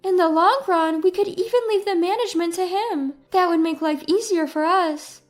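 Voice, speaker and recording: A woman's voice: very high voice, normal speed, faint music behind, pitched above the performer's own voice. A woman voicing a brazen, self-serving daughter-in-law with sugary cheer, in storybook dialogue. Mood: excited, greedy.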